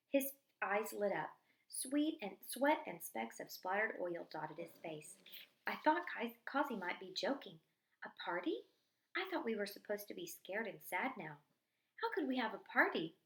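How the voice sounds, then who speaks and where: A woman reading a diary-style story aloud in an expressive narrating style, acting out the dialogue. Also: mid-range voice. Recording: echoey room.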